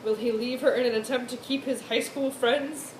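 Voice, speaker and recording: A woman's voice: fairly high pitch, steady tone, normal speed. A woman chatting casually and wryly as a co-host, chipping in with amused comments. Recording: echoey room with clear background noise.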